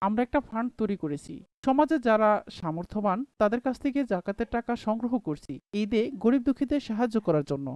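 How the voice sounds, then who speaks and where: Earnest male fund collector for a moral cartoon, woman performer. Animated, highish voice, polite, dry recording. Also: quick pace, dry recording, lightly acted. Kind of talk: Islamic moral cartoon voice acting.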